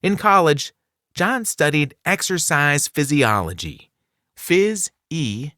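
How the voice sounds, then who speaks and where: A man narrating a scripted children's non-fiction book in an expressive, clearly enunciated storytelling delivery. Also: a little high, slow, no echo, clean studio sound. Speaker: audiobook narrator.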